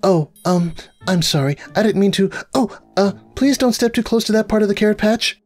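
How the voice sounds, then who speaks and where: A man voicing a timid, sweet, softly morbid female pony with a meek, hesitant manner in a cartoon story. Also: sits high, a little fast, soft background music, pitched above the performer's own voice. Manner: meek, hesitant, gently pleasant.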